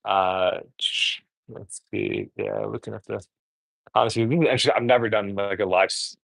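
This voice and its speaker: A man's voice: on the low side, fairly even intonation, moderate pace, vocal fry, a bit bright, no room sound. A man, chatting frankly while cooking on a livestream, full of fillers and restarts. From born in Korea, raised in the US. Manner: rambling, filler-heavy, frank. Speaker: dating coach host.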